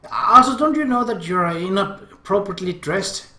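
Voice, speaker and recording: A man's voice: high-pitched, lively intonation, moderate pace, pushed and bright, voice pushed up, heavily acted. A man voicing a scolding, easily flustered older sister in an anime visual novel, indignant and exasperated. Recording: roomy echo.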